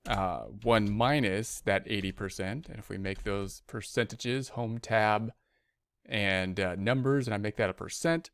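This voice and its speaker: A man with a fairly low, smooth voice walks through a spreadsheet lesson as an accounting instructor. He talks informally, thinking aloud one step at a time. The sound is close and dry, like a screen-recording voice-over. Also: measured pace, dry recording.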